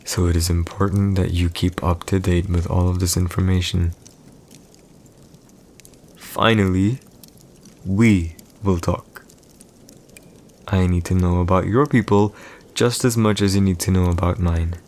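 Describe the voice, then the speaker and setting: Man acting a deep, slow, haughty villain emperor. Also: steady tone, a little mellow. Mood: stern, instructive. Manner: formal, archaic, measured, condescending diction. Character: haughty villain emperor, commanding, teasing new husband. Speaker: voice actor in audio roleplay. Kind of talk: ASMR boyfriend audio roleplay acting.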